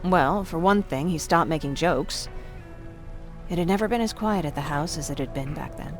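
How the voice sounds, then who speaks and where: A woman's voice: deep voice, normal speed, soft background music, natural voice. A woman playing a troubled young survivor, reflective and confiding, in a video game. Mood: wistful, confiding. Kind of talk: intimate video game dialogue.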